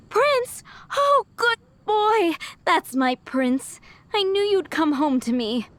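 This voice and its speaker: Doting game townswoman, high voice, unhurried, gentle, sentimental. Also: no echo, heavily acted. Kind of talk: animated game dub acting.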